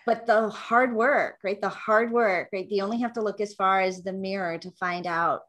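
A woman's voice: mid-range voice, steady tone, normal speed, a bit bright. Upbeat woman hosting an interview. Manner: upbeat, enthusiastic. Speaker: podcast host.

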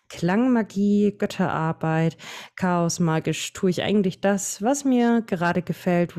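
A woman in her late twenties with a Franconian German accent, a podcast guest explaining things at length in a relaxed way. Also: on the low side. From Franconia, Bavaria. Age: 20s. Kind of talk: casual podcast chat.

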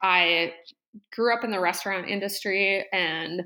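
Bright, chatty woman podcast guest. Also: mid-range voice. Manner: chatty and rambling, full of fillers and quick laughs. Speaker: podcast guest, interior design business owner. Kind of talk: casual interview chat.

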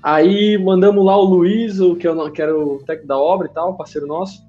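A man with a high voice swaps construction stories, acting out the people in them with sudden squeals. The sound is a little dull, and faint music plays behind him. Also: fairly quick. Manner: animated storyteller, acts out voices in a high squeal. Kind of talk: casual podcast chat, storytelling.

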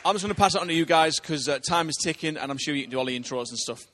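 A man's voice: fairly high pitch, a bit bright, some chatter behind. A man leading a church service, upbeat as he greets the congregation and welcomes the guest speakers. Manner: upbeat, quick to hand over.

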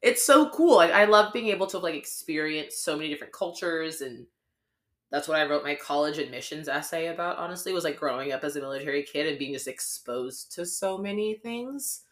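A woman's voice: deep voice, lively intonation, brisk, fairly bright tone. A young adult woman co-hosting a podcast, chatting casually and rambling with lots of "like" fillers. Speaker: podcast co-host.

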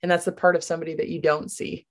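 A woman in her late twenties from Michigan, a guest on a podcast, talking earnestly with light laughs and pauses. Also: sits low, flat delivery. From Grand Rapids, USA. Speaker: podcast guest, business founder. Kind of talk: casual interview.

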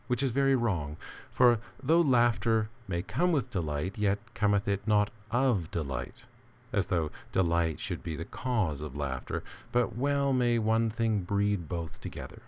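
A man reading a literary essay aloud with measured, deliberate phrasing. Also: on the low side. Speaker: reader.

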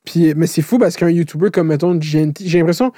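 Homme québécois, voix douce et feutrée. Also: male voice, a little high, quick pace, warm tone, no echo, clean studio sound. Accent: Québécois French. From Quebec. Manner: laid-back, slangy. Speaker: YouTuber, podcast co-host. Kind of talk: casual chat.

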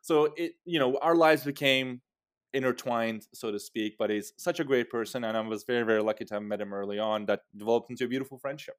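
A man in his thirties from Turkey, telling personal stories as an interview guest and acting out remembered dialogue in an animated manner. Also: mid-range voice, fast talker, smooth. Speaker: interview guest, startup co-founder. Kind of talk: conversational personal storytelling.

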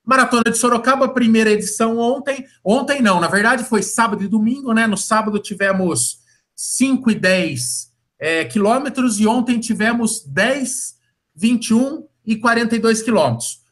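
A man's voice: extremely high pitch, moderate pace, a bit bright. A man hosting a running podcast in casual chat, joking and profane, rambling and repeating himself.

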